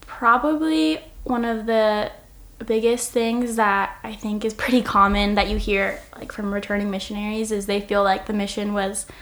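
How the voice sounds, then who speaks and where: A woman's voice: medium pitch, little pitch movement, moderate pace, a bit bright. Earnest young woman from Oregon. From Oregon, United States. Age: 20s. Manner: earnest, reflective, laughs easily. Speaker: podcast guest. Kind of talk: casual interview answers. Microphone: single shared microphone.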